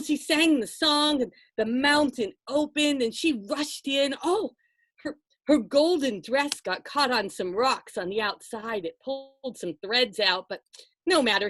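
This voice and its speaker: A woman storyteller telling a folktale aloud to listeners, expressive and conversational, with dramatic pauses and asides. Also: fairly high pitch, no room sound.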